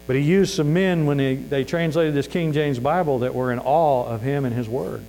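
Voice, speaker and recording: A man with a Missouri accent delivers a sermon in a folksy, earnest manner, stumbling through fillers and restarts. His pitch sits mid-range for a man. A faint electrical hum runs under the recording. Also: normal speed, warm tone, low-quality recording. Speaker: preacher.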